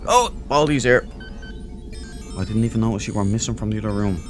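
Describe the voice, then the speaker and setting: A man's voice: on the low side, brisk, music in the background. A man hosting a let's play, thinking aloud in casual, cheeky, jokey commentary.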